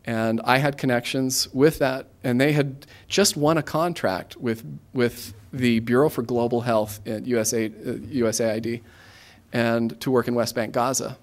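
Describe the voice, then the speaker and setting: An American man in his thirties giving an informal career talk to students, conversational and halting, often restarting his phrases. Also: mid-range voice, steady tone. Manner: conversational, halting, self-interrupting. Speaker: guest speaker, government aid regional coordinator.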